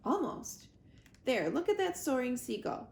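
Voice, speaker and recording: A woman plays a protective, calm robot mother from a children's storybook. She phrases things formally and precisely, in a high voice with some room echo. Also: a little slow, heavily acted. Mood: instructive. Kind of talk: children's storybook read-aloud. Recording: roomy echo, clear background noise.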